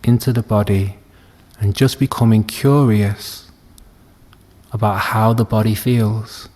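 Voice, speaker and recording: A man leading a guided meditation as a teacher, speaking gently and soothingly with long unhurried pauses. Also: fairly low pitch, fairly even intonation. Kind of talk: guided body scan meditation.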